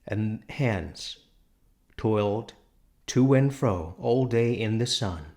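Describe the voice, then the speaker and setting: Slow, low-voiced male storyteller. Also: darkish tone. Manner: measured, unhurried storytelling with deliberate pauses. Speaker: audiobook narrator. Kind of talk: scripted literary narration.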